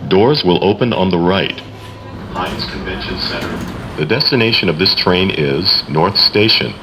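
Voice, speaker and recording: A man's voice: deep voice, unhurried. A man reading automated station announcements in short, formal, clipped set phrases, as a transit announcer. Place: inside a subway train, over speakers. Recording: echoey, noisy subway car recording.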